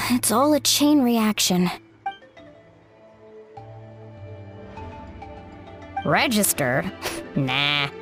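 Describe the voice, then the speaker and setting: Upbeat young game heroine, high breezy voice, friendly, bit of music behind. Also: female voice, sing-song, extremely slow, slightly rough, full character voice. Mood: casual, friendly. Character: upbeat, loyal young female adventurer. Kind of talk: video game character acting.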